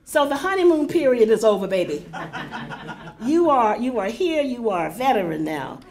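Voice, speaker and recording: A woman's voice: on the high side, sing-song. An older woman directing a poetry center, giving chatty welcoming remarks with a big voice and many fillers to a live audience in a university hall. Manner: warm, chatty, unhurried with frequent fillers.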